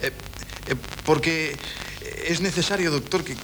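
An actor playing a nervous, flustered young husband who stammers and hesitates, in a 1970s radio drama. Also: male voice, fairly high pitch, a little slow, fairly bright tone. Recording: noisy 1970s radio broadcast.

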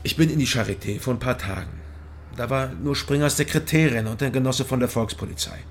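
A man's voice: mid-range voice, a little fast, a little hiss or hum, lightly acted. An actor playing a guilt-ridden male ex-soldier in a radio drama, brooding and pleading. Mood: anxious. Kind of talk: radio drama acting and inner narration.

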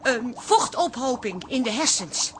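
An actress playing an exasperated, scheming adult daughter with dry wit in a Dutch comedy radio play. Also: female voice, on the high side, sing-song, measured pace, a bit bright, slight background noise. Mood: mock alarm.

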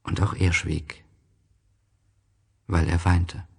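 A man narrating a literary story in the first person, in a reflective, measured and melancholy manner. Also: deep voice, level tone, husky.

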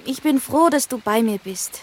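An actress playing an affectionate young daughter in a radio drama, speaking with a soft, breathy voice. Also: female voice, sits high, animated, moderate pace. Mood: gentle, anxious. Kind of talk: scripted German radio drama acting and narration.

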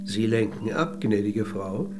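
An actor playing a polite but probing middle-aged interviewer in a radio play, with measured, insistent questioning. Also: male voice, fairly low pitch, expressive, over loud music. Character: courteous but probing male interviewer of an old lady.

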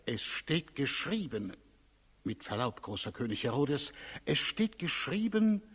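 An actor playing a cautious, evasive high priest in a 1960s radio play, deferential, solemn and ceremonious. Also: male voice, big pitch swings, a little slow, fairly bright tone, slightly rough, exaggerated voice. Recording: 1960s radio recording, reverberant hall.

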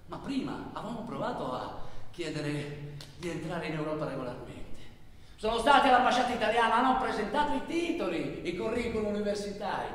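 An actor playing an intense traveller who recounts stranded migrants' hardships in a halting, repetitive theatrical monologue. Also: male voice, sits high, very animated. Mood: halting, sombre. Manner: halting, repetitive delivery, swinging between shouts and murmurs. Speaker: stage actor. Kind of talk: dramatic theatrical monologue in Italian. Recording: very echoey hall, distant microphone.

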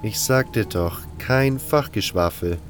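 A man's voice: on the low side, takes it slowly, soft background music. A man playing a curt, skeptical convict adventurer with a blunt, dry, impatient manner in a fantasy audio drama.

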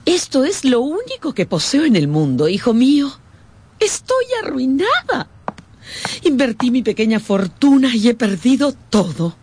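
A woman's voice: high-pitched, wildly swooping pitch, unhurried, darkish tone, a little hiss or hum, full character voice. A woman playing a proud, loving older aunt in a radio drama, emotional yet dignified. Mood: distraught. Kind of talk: Spanish-language radio drama acting.

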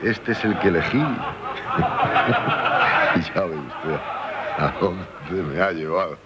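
An actor playing a dissolute, self-loathing gentleman drinker in a 1970s radio drama, with a hesitant, stammering delivery. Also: low voice, expressive, slow, highs cut off, over a noisy crowd, heavy background noise. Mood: bitter, self-mocking. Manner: hesitant, stammering, broken by wry laughs. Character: dissolute, self-loathing drunkard gentleman confessing his passions. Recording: 1978 radio broadcast with loud music behind.

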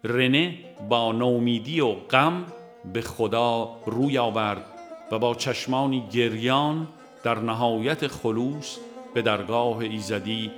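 A man with an Iranian Persian accent reads a religious audiobook in a measured, formal, literary manner. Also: fairly low pitch. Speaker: audiobook narrator. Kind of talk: scripted narration of a religious book.

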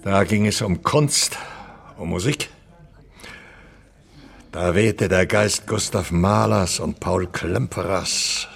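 A man's voice: deep voice, slow, gravelly, long pauses, slightly rough, exaggerated voice. An actor playing a pompous former opera star in his eighties, grandiose and bitter, declaiming theatrically in an audio drama. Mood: self-glorifying. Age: 80s. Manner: theatrical, declamatory, self-aggrandizing. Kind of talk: German audio drama dialogue and monologue.

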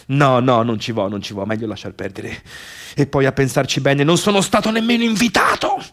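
A man's voice: on the high side, close to an everyday voice. An actor playing a neurotic, bitter, indecisive man in a theatrical radio monologue, muttering agitatedly to himself with a Tuscan Italian accent. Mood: anxious, wavering, then grumbling, scornful. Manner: agitated muttering self-talk, constantly contradicting himself. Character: neurotic, wavering man, bitter misfit brother obsessed with his sister. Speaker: stage actor.

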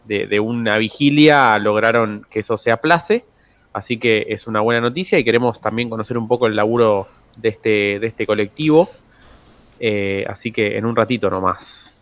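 A man's voice: fairly low pitch, moderate pace, no echo, highs cut off. A man with a Rioplatense Argentine accent hosting a radio show in a casual, conversational style. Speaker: radio host.

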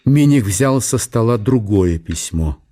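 A man giving a measured, storytelling narration of a literary radio drama. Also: on the low side, lively intonation, warm tone. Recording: music under the narration. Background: music.

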